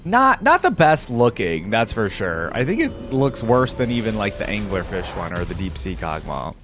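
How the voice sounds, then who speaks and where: A man hosting a casual, unscripted reaction video, commenting in a halting, conversational way as he thinks aloud. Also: on the low side, sing-song. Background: background music.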